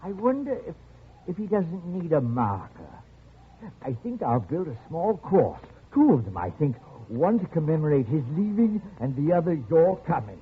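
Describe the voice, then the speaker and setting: An actor playing a lonely, genial but quietly menacing old jungle settler in a radio drama, speaking softly and haltingly with small chuckles. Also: male voice, on the high side, sing-song, slight background noise. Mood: evasive, gentle. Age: older. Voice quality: soft, chuckling. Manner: halting, gentle, repetitive. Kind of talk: 1950s radio drama acting. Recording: muffled, lo-fi old radio recording.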